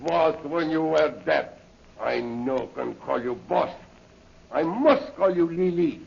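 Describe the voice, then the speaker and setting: Boastful henchman, raspy and swooping, broken English, muffled vintage radio serial. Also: a little high, animated, slow, full character voice. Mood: astonished, smitten. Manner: broken English peppered with French exclamations. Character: rough, fawning male French henchman, jealous and boastful. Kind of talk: 1930s radio adventure acting. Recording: muffled old-time radio recording.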